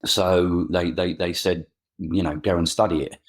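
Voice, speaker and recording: A middle-aged man with an Essex twang and a very deep voice talks through his story as a guest on a remotely recorded interview. He stumbles and repeats words often and circles back to restart his sentences. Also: level tone, measured pace. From England. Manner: hesitant, frequent word repeats and restarts. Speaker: guest, freelance photographer. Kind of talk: casual interview chat.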